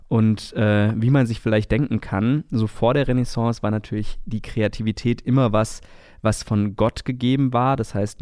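A man's voice: on the low side, a little mellow, dry recording, studio quality. A man co-hosting a podcast in a conversational style. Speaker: podcast co-host.

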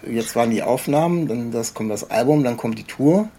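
A man's voice: medium pitch, a little fast, mellow, a little hiss or hum. A man interviewing a guest in casual chat, with a rambling, roundabout way of asking questions. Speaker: podcast host, interviewer.

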